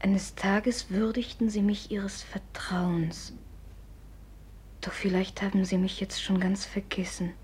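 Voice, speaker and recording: A woman's voice: medium pitch, level tone, takes it slowly, a little mellow. A woman playing a proud, suspicious young society lady in a German radio drama, with a theatrical, imperious manner. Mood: hushed, moved. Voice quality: breathy.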